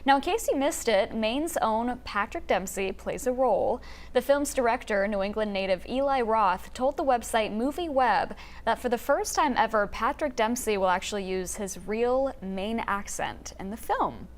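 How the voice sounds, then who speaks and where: A woman's voice: on the high side, expressive, normal speed. A woman anchoring a morning TV newscast, introducing a segment and bantering lightly with a co-host. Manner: bright, conversational, light banter. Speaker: news anchor. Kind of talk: morning TV news chat.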